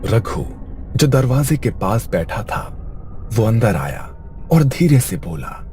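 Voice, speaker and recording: A man's voice: medium pitch, big pitch swings, slow pace, dark tone, slight background noise. A man narrating a scripted horror story in a suspenseful storytelling manner.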